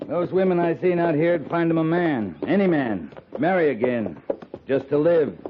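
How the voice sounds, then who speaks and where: A man's voice: a little high, animated, normal speed, lightly acted. A man playing a dry, commanding cavalry captain in a 1950s Western radio drama, talking in a laconic, wry way. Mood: grim, reflective. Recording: muffled, noisy 1950s radio recording.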